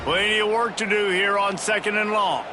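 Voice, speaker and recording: A man's voice: high-pitched, steady tone, normal speed, over music, natural voice. An American man commentating play-by-play on a football video game as a polished, upbeat announcer. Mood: engaged, announcing.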